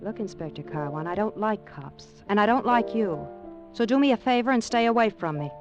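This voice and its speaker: Woman acting as female crime boss, 1940s radio drama, hard, sardonic, curt, commanding, middle pitch, old broadcast with faint music. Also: animated, a little fast, dull audio, close to an everyday voice. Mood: scornful.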